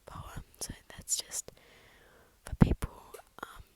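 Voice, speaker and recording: A woman with a cold, whispering softly and haltingly into a close mic for an ASMR poem reading. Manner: soft whisper, halting with fillers. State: congested with a cold, snuffly. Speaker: ASMR creator.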